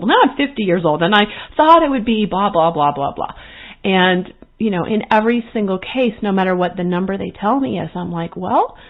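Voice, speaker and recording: Podcast host woman on a tinny line. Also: medium pitch. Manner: earnest, reflective, with quoted inner voices. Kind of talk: solo podcast monologue. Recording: phone-like narrow sound.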